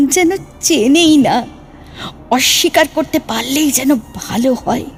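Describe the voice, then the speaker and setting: A high-pitched female ghost played by a woman speaks slowly, with dramatic pauses. She is a betrayed, vengeful young lover in a horror audio drama, with music under her voice. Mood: wounded, bitter. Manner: slow, dramatic, aggrieved delivery with pauses. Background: background music.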